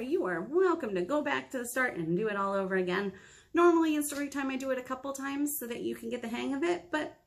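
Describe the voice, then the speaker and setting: An American woman in her thirties leading a children's storytime, reading aloud and chatting to young listeners in an encouraging, expressive manner. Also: sits high. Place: small indoor meeting room.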